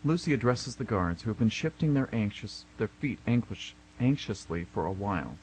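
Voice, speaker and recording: Animated male radio play narrator. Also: on the low side, moderate pace, rough recording. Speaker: narrator reading stage directions. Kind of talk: scripted narration. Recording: faint noise and hum.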